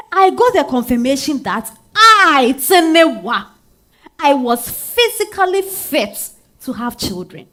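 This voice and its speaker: Woman acting a very high-voiced betrayed Ghanaian wife. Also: heavily acted. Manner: theatrical, swinging from hushed to outcry. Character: betrayed Ghanaian wife, thirties, bitter, wounded, fierce. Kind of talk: dramatic stage monologue reading. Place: live stage at a literary festival.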